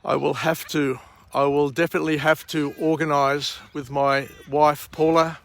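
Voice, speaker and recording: A middle-aged Australian man with a croaky voice after a night out, hosting a rambling outdoor morning vlog and book summary at a vineyard. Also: fairly high pitch, level tone, a bit bright. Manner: slow, rambling, frequent uh and um. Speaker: vlog host.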